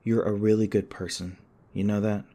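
A man playing a shaken, apologetic young human in an audio roleplay, speaking hesitantly with stammers and halting phrases. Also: fairly low pitch, level tone, mellow, lightly acted. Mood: grateful, apologetic. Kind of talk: ASMR audio roleplay, naturalistic acting.

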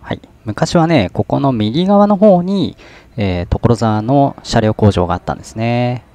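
Relaxed man chatting casually outdoors. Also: medium pitch, unhurried. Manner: relaxed, chatty, frequent "ne" fillers and hesitations. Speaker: vlogger. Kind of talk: casual train-spotting commentary. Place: outdoor station deck overlooking rail yard.

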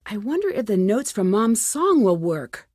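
Casting: plucky young female sleuth, video game lead, mid-range voice, quick-witted self-talk, very dry studio sound. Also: medium pitch, big pitch swings, darkish tone, no room sound. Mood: focused, musing. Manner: clearly enunciated, thinking aloud. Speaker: game protagonist.

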